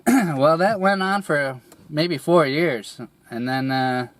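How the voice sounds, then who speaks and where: A young man getting over a cold, his voice a little raspy, telling a personal story slowly with many pauses, fillers and throat clearing. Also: fairly high pitch, no room sound. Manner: hesitant, rambling, many fillers and restarts, clears throat often. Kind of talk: candid personal monologue.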